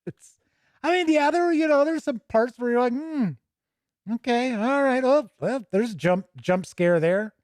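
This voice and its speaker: A man's voice: very high-pitched, moderate pace, a little mellow, no echo, clean studio sound. A man hosting a tabletop gaming show, giving casual, chatty, rambling commentary off the cuff. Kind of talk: casual unscripted commentary while leafing through a book.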